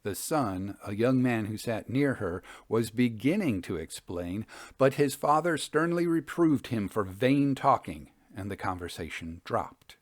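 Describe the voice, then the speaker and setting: A man hosting and reading old ghost stories as scripted narration, in a measured storytelling manner. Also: on the low side, expressive, no echo, studio quality.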